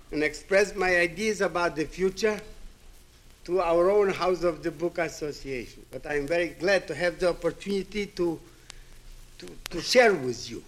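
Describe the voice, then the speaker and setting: A man's voice: fairly high pitch, rough recording. A man in his seventies from Ukraine, a native Hebrew and Yiddish speaker, giving a reflective public address in an emphatic way, with rhetorical questions and repetition. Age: 70s.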